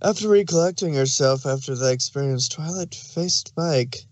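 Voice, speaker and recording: Male narrator for a read-aloud fan story: fairly high voice, very little room sound. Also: moderate pace, no room sound.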